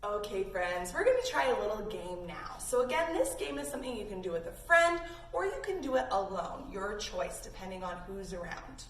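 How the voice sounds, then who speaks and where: A woman hosting a kids' yoga lesson, giving casual, encouraging instructions in an animated way made for young children. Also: mid-range voice, normal speed, hardly any pauses. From Canada. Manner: animated, child-directed, addresses listeners as friends. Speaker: children's yoga teacher and host. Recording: roomy echo, distant mic, faint noise.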